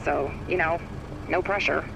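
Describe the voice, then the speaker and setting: A woman's voice: low-pitched, fairly even intonation, unhurried, gravelly, background noise. An actress playing a sardonic woman virus scientist in a video game, talking in a dry, wordy, sarcastic way. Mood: wry urgency. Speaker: virologist character in a video game.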